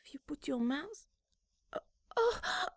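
A woman speaks in an unhurried, breathy whisper full of soft sighs, slipping French endearments into her English. Her voice sits high, and the sound is dry, with no room around it. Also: huge pitch swings, dry recording. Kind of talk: whispered intimate roleplay audio.

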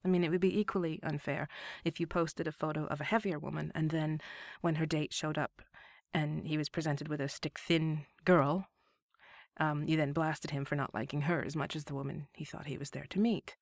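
A woman with a low, gravelly voice hosts her own solo podcast, sounding stuffed up from a cold. She talks in a candid, conversational way, with the odd laugh. The sound is close and dry, with no room around it. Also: fairly even intonation, normal speed, no echo. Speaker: podcast host.

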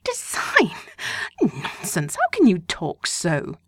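A woman voicing an excitable, gossiping middle-aged mother of five who is fussy and insistent. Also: lowish voice, takes it slowly, slightly rough, no echo, exaggerated voice. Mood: pleading.

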